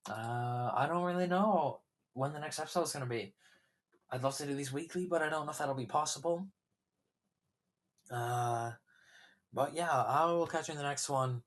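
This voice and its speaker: A man's voice: fairly high pitch, moderate pace, long pauses. A young man hosting his own podcast, chatting casually and rambling with many fillers, his throat a little sore. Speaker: podcast host. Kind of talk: casual solo podcast chat.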